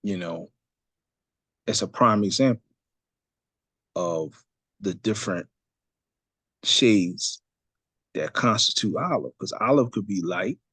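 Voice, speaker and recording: A man's voice: fairly low pitch, animated, slow pace, long pauses, a bit bright, husky, dry recording. A man teaching a religious study session in a loose, conversational way, full of fillers and asides. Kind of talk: casual religious study talk.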